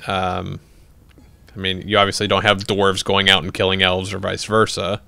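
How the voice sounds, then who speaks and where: Low, smooth-voiced man chatting on a stream. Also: completely flat delivery, moderate pace, sharp tone, dry recording, faint music behind. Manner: rambling, many fillers and restarts, laughs easily. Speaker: livestream host. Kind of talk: casual chat answering viewer questions.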